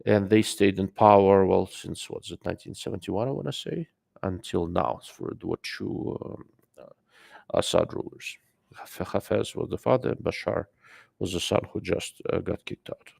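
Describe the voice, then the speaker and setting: Accented male livestream host, low gravelly voice, dry close sound, rambling off-the-cuff delivery. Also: normal speed, a little mellow, no echo. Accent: non-native English. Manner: rambling, filler-heavy, greets chat mid-sentence. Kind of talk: casual live-stream commentary.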